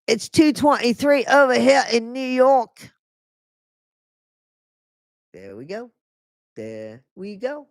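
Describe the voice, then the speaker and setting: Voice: medium pitch, big pitch swings, takes it slowly, vocal fry, long pauses, no room sound, studio quality. A livestream host reading a book aloud to viewers and breaking off for sarcastic, chatty comments, often stopping to reread a line. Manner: sarcastic asides between read passages. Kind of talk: casual live reading with commentary.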